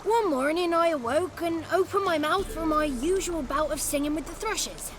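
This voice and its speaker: A woman's voice: high-pitched, moderate pace, noisy background, natural voice. A woman voicing a cheeky, childlike male swamp creature in a video game, talkative and theatrical, with boastful, florid wordplay. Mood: indignant, theatrical. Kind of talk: video game character acting.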